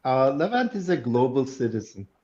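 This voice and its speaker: A man in his sixties from Turkey, a guest telling his story slowly and in measured phrases on a video call. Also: medium pitch, big pitch swings, warm tone, highs cut off. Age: 60s. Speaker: guest speaker. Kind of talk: online interview.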